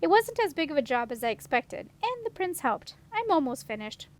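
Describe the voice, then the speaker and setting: A woman's voice: high voice, big pitch swings, fairly quick. A woman voicing an assured, practical young woman in a storybook. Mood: reassuring. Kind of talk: storybook character reading.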